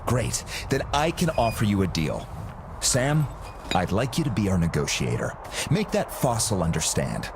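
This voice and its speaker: Smug arms-trade kingpin for a game: lowish, hugely animated, urbane, businesslike, selling grand visions. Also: male voice, normal speed, noisy background. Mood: smooth, persuasive. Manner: urbane, self-satisfied, theatrical, businesslike. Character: smooth, grandiose arms-dealer boss with empire ambitions. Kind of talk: video game cutscene acting.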